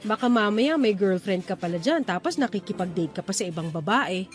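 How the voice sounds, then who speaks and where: A woman's voice: medium pitch, expressive, fairly quick, lightly acted. A woman playing an outspoken, playful young single woman, an old sweetheart, in radio drama dialogue. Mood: frank, opinionated. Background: music.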